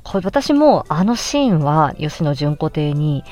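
A woman hosting a casual solo podcast, chatting in an animated way with emphatic exclamations as she looks back on a project. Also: deep voice, measured pace. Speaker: podcast host and amateur voice actress. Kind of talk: casual solo chat looking back on an audio drama.

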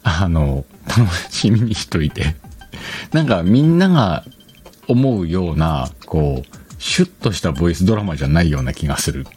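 A man with a low voice and lively intonation hosts a casual solo podcast. He rambles colloquially and corrects himself as he goes, over faint music. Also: moderate pace. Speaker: podcast host. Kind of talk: casual chatty solo show. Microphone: dedicated microphone.

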